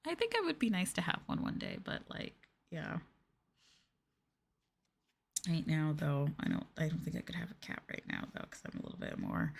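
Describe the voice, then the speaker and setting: A woman streamer chatting casually in a soft, affectionate, gushing ASMR style, close to the microphone and sometimes near a whisper. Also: lowish voice, vocal fry, slight background noise. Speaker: ASMR streamer, VTuber host. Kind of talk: ASMR soft talking, casual chat with viewers.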